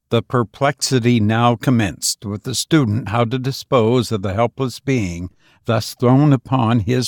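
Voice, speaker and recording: A man narrating an old literary story as an audiobook reader, in a measured, solemn storytelling manner. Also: mid-range voice, no echo, studio quality.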